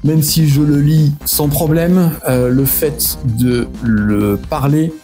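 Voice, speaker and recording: French guy chatting to his subscribers, warm low-key voice, lots of "euh", faint guitar behind. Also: fairly even intonation, slow pace. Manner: rambling, self-mocking, many hesitations. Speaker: miniature-hobby YouTuber. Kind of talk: casual vlog talk to subscribers.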